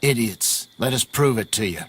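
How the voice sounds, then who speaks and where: A man's voice: on the low side, expressive, moderate pace, a bit bright, a little hiss or hum. Coaxing, genial con man. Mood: persuasive. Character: smooth-talking, persuasive veteran con man. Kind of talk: video game acting.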